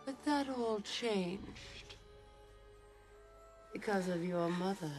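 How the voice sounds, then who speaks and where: An actress playing a seductive, menacing snake-haired gorgon in a film, with silky, drawn-out taunts that sink into breathy whispers. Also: female voice, medium pitch. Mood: wistful, bitter. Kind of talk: film acting. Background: film music.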